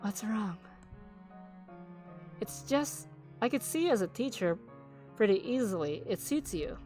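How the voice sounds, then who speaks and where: A woman's voice: mid-range voice, lively intonation, a little slow, music in the background, natural voice. A woman reading the inner narration of a reserved, thoughtful teenage schoolboy in a visual novel, plain and conversational.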